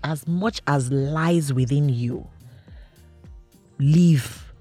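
A woman from Cross River in Nigeria, speaking earnestly as a guest in an interview, with a loud, carrying voice. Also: sits low, extremely slow, breathy edge, faint music behind. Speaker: interview guest, industry veteran. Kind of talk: conversational interview.

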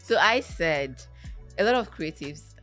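A woman's voice: lowish voice, lively intonation, measured pace, pushed and bright, soft background music. A woman with a Nigerian accent hosting a radio interview, asking questions in a conversational way. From Anambra State, southeastern Nigeria.

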